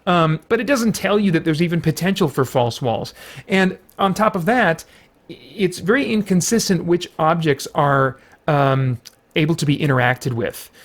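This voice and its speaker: A man's voice: on the high side, normal speed. A man giving a casual spoken game review, rambling with many fillers and restarts and sounding mildly exasperated.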